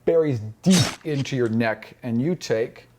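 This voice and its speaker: A man's voice: mid-range voice, a little slow, a little mellow, slight background noise. A man running a tabletop game as game master, shifting between dramatic description and casual asides. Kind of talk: improvised narration of a tabletop game. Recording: music bed behind the voice.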